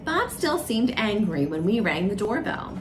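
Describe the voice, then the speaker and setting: Animated woman reading a children's story. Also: medium pitch, a little slow. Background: music.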